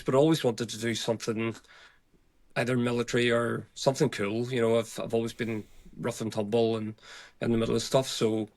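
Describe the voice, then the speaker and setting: A man in his forties with a Northern Irish accent, telling his own story as an interview guest in a halting, conversational way. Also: medium pitch, vocal fry. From Northern Ireland. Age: 40s. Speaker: podcast guest, retired police officer. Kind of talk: reflective personal interview.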